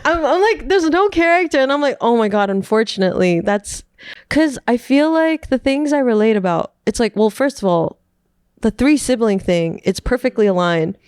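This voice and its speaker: A woman from New York City chatting casually as a podcast guest, rambling, laughing often and letting her sentences trail off softly. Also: fairly high pitch, expressive, normal speed, clean tone, no echo, clean studio sound. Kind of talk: casual chat.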